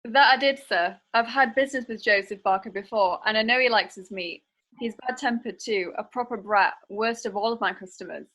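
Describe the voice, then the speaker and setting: A woman's voice: fairly high pitch, fairly quick, fairly bright tone. A woman playing a chatty, cheeky tavern-going witness in a period courtroom radio play.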